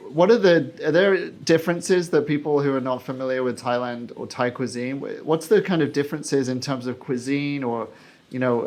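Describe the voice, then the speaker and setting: A man hosting a podcast, interviewing a guest in a conversational, enthusiastic manner. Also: medium pitch. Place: small basement room on an indoor farm.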